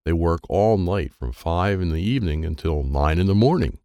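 A man voicing a pushy, matter-of-fact young girl who explains things briskly in a children's story. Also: low-pitched, lively intonation, dark tone, dry recording, studio quality.

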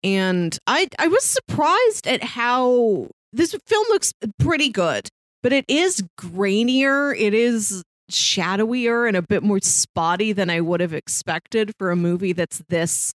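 A woman's voice: mid-range voice, lively intonation, takes it slowly, no room sound. A woman co-hosting a film podcast, explaining her thoughts in a casual, thoughtful discussion. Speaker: podcast co-host.

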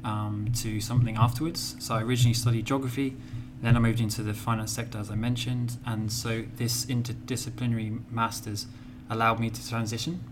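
A man's voice: medium pitch, level tone, fairly quick. A male master's student from the UK answering interview questions, hesitant with fillers. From United Kingdom. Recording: audible background noise.

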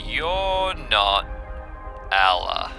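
A man voicing a cryptic, formal stranger in an audio drama, speaking in slow, measured phrases. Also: lowish voice, sing-song, gravelly, sharp tone, soft background music, exaggerated voice. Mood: eerie, polite. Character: cryptic, formal mysterious contact speaking over a crackly radio.

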